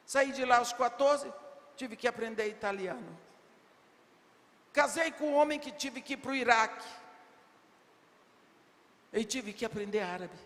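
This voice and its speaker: Older woman preaching in a reverberant hall. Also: on the high side. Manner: emphatic, rhetorical questions, bursts of shouting. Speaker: preacher at women's congress. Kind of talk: sermon. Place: large church hall. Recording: long reverb of a big hall.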